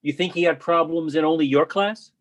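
A man's voice: fairly high pitch, fairly quick, lightly acted. A man reading the part of a blunt college colleague who probes and challenges, in a play. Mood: accusing. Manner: challenging, colloquial, probing. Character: blunt, accusing male college colleague, sympathetic to students. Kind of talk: readers theatre play reading.

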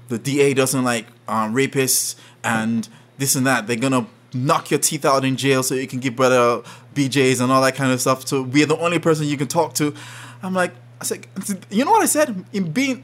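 A man from Saint Kitts, a podcast guest telling a story in lively conversation, often laughing and acting out what people said. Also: medium pitch, normal speed, hardly any pauses. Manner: animated, laughs often, acts out quotes.

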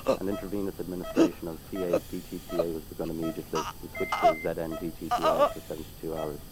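An actor playing a detached hospital doctor in a radio drama, reporting medical details matter-of-factly. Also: male voice, deep voice, little pitch movement, quick pace, close to an everyday voice, rough recording. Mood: businesslike.

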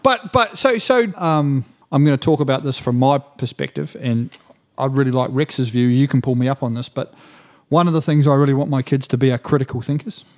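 Man, podcast host, mid-pitched voice, reflective, thinks out loud with restarts. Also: a little fast. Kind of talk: casual podcast chat.

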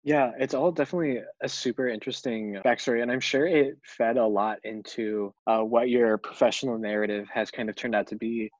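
A man's voice: mid-range voice, fairly even intonation, fairly quick, hardly any pauses, dry recording. A young man with an American accent hosting a podcast interview, on slightly dull, lo-fi audio. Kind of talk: scripted introduction and interview questions.

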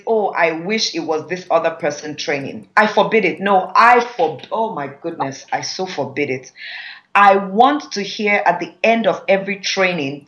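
A woman with a Nigerian accent, a public speaking trainer teaching a masterclass in an emphatic, lively way. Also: fairly low pitch, rough recording.

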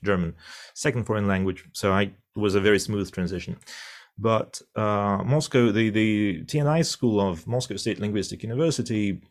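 Deep-voiced man, thoughtful. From Russia. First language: Russian. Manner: thoughtful, many fillers and restarts. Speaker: interpreter. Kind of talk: conversational interview answer.

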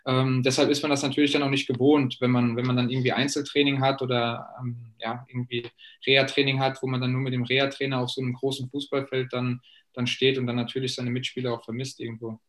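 A man's voice: mid-range voice, level tone, fast. A man in his late twenties, a professional footballer, answering fan questions thoughtfully over a video call with many fillers and restarts. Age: late 20s.

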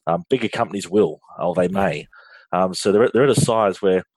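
Low-voiced Australian man, dry sound. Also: moderate pace, darkish tone, no room sound, poor audio. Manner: frequent restarts and ums, earnest explaining. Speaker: guest, finance outsourcing firm leader. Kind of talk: business interview.

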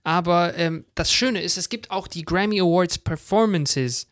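Male podcast co-host, fairly high voice, dry close sound, informal and enthusiastic, drops in English words. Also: measured pace, a bit bright, dry recording. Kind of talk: casual chat.

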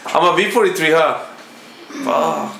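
A man's voice: a little high, moderate pace, creaky, sharp tone. A man in his forties chatting casually with friends, swearing a lot and teasing with laughs. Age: 40s. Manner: profane, teasing, laughs often. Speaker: podcast co-host. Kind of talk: casual banter among friends. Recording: echoey room with faint noise.

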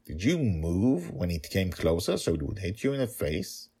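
A man acts out a stern pirate father from an audio storybook, husky and very animated. He is protective and worried, and scolds with weighty emphasis. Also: low-pitched, moderate pace, exaggerated voice.

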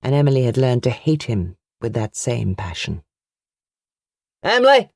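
A woman's voice: extremely low pitch, lively intonation, moderate pace, mellow, slightly rough, no room sound, studio quality. A woman reading a novel aloud as an audiobook narrator, in a dramatic storytelling style.

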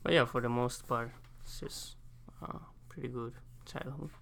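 A young man from Spain with a Spanish accent, an exchange student answering interview questions casually and haltingly, pausing to search for English words. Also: medium pitch, steady tone, vocal fry, fairly bright tone. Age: young adult.

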